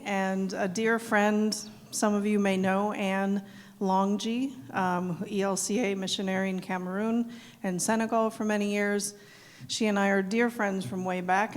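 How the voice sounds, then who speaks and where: A middle-aged woman from South Dakota, answering questions at a live evening event in an earnest way, with frequent ums. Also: medium pitch. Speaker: executive director being interviewed. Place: event hall. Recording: long hall reverb.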